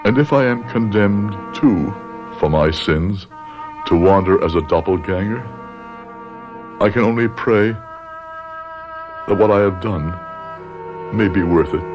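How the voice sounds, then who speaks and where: A man acting an older professor near death, narrating a radio drama. His voice is low and grave, solemn and remorseful in measured phrases, with music clearly behind him. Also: close to an everyday voice. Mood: grave, resigned.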